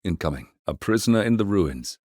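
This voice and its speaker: A man voicing a composed, middle-aged mercenary handler in a video game, giving mission briefings in a measured, dryly understated way. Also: low voice, animated, dark tone, no echo, clean studio sound. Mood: businesslike.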